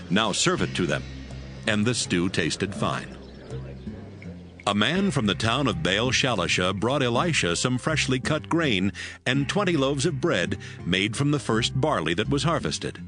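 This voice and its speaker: A man's voice: medium pitch, sing-song, unhurried, over music. A man narrating a dramatized Bible reading as a scripted storyteller.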